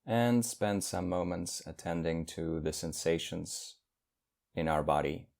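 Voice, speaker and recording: A man leading a guided meditation as a teacher, speaking in measured, instructional phrases with long pauses between them. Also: deep voice, gravelly, a little mellow.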